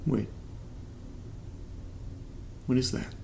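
A man's voice: drawn-out words, a little mellow, slight background noise. An actor playing an uneasy young man keeping a casual, hesitant spoken diary in a found-footage horror audio drama. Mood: uneasy, curious. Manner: casual, hesitant, muttering, self-deprecating. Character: uneasy young man recording strange noises in his new house.

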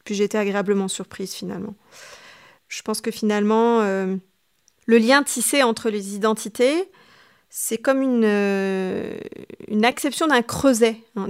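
A woman novelist from Tunisia answering interview questions thoughtfully, often pausing to search for her words. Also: medium pitch, clear, resonant tone. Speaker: novelist guest.

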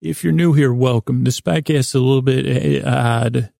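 A man hosting a sleep podcast, meandering through a halting, self-correcting bedtime monologue in a creaky voice. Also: medium pitch, dark tone, no echo, studio quality.

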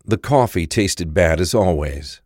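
A man's voice: low voice, lively intonation, a little slow, darkish tone, dry recording, clean studio sound. A man narrating a crime thriller audio drama from a script.

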